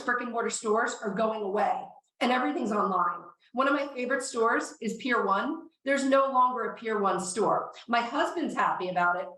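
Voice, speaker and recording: A woman with a somewhat high voice talks through investing ideas with eager, explaining energy, her voice strained as it starts to give out. She sits back from the microphone in an echoey room, so her voice sounds roomy and distant. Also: fairly bright tone. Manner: enthusiastic, explanatory. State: losing her voice. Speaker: podcast co-host. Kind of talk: casual finance talk.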